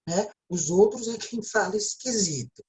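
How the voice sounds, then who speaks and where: Mellow-voiced Brazilian woman teaching. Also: medium pitch, animated, normal speed. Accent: Brazilian Portuguese. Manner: explanatory, often checks in with a tag question. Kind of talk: recorded video lecture.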